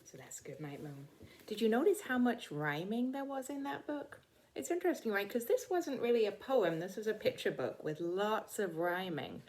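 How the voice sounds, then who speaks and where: A woman's voice: mid-range voice, expressive, moderate pace, darkish tone. A middle-aged woman reading a picture book aloud to children, talking simply and directly to her young listeners. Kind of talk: read-aloud storytime for children.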